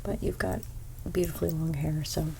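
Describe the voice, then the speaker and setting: Soft, husky-voiced woman with a stuffy nose. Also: low-pitched, little pitch movement, moderate pace, noisy background. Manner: soft-spoken, drifting into whispers; chatty salon small talk, gentle questions. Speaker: hairstylist in role play. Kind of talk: ASMR role play.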